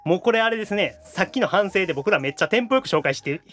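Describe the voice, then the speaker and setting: Male podcast host, Kansai accent, animated pitch, playful comic banter with mock protests. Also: rapid-fire. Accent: Kansai Japanese. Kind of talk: casual comedic banter reading listener submissions.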